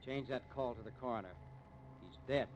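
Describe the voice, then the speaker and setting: Man playing steady newspaperman, muffled old radio. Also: mid-range voice, a little fast, natural voice. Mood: urgent. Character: level-headed newspaper editor, married man, peacemaker in tense scenes. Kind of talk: 1950s radio drama acting. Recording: dull, hissy vintage radio recording.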